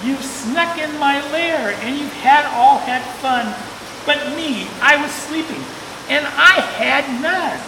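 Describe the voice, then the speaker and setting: A woman voicing a big, grumpy, self-pitying bear in a children's storybook, wailing a loud complaint. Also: high voice, lively intonation, slow, pitched above the performer's own voice, full character voice. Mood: indignant, whining. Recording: echoey hall, distant mic, loud hiss.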